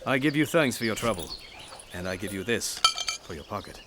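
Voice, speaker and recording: A man playing a stern knight who guards a young prince, with measured, commanding, old-fashioned speech in an audio drama. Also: lowish voice, animated, very noisy, close to an everyday voice. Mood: courteous. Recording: loud music under dialogue.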